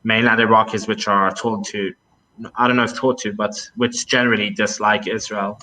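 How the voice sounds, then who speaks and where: A man's voice: lowish voice, flat delivery, normal speed, bright, forceful tone, a little hiss or hum, poor audio. A young man talking casually as a podcast guest, hesitant, with many fillers and restarts. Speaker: podcast guest, travel video maker. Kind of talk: casual conversation.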